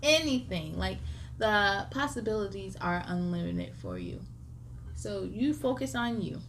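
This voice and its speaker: A woman's voice: mid-range voice, sing-song, a little slow, vocal fry, background noise. A woman hosting a children's story time, reading aloud expressively and talking encouragingly straight to young listeners.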